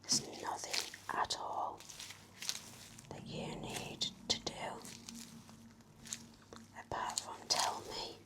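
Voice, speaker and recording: A woman whispering gently and reassuringly in an ASMR roleplay as a nurse, close to the microphone. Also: slow pace, long pauses.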